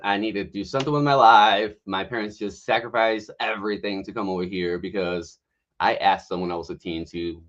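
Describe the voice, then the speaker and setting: Lo-fi, low-voiced man in his thirties from Mexico. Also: a bit bright. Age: 30s. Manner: candid, self-mocking storyteller who laughs often. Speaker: guest health coach. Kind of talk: casual life story on a livestream.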